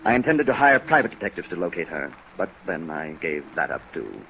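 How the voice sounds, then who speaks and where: A man's voice: sits low, a little fast, creaky, exaggerated voice, rough recording. An actor playing an earnest, dignified older American man jilted by his fiancée, speaking formally in 1950s radio drama style. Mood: defensive, earnest. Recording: 1950s radio recording with rain noise. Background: steady rain sounds.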